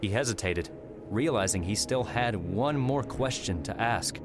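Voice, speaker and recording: A man's voice: on the low side, expressive, unhurried. A man reading scripted story narration for an audiobook. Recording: music behind the voice.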